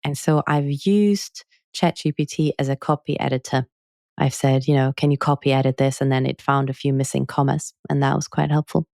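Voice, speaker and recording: A woman writer answering interview questions in an articulate, measured way, turning wry when she tells anecdotes. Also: a bass voice, fairly even intonation, vocal fry, warm tone, no echo, studio quality. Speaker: author and journalist guest.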